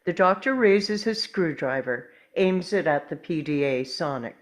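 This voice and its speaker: Lowish-voiced woman reading stage directions. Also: expressive, a little slow. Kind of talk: scripted narration, present-tense screen directions.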